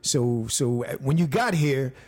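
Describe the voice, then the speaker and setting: A man from the United States hosting a podcast interview, halting and jokey, stumbling through many restarts and fillers. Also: mid-range voice, animated, darkish tone. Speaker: podcast host. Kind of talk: casual interview.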